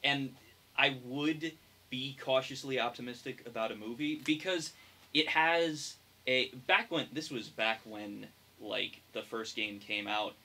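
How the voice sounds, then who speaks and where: A man's voice: medium pitch, steady tone, unhurried, pushed and bright. A young man co-hosting a casual podcast, thinking aloud as he explains his points. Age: young adult. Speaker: podcast co-host.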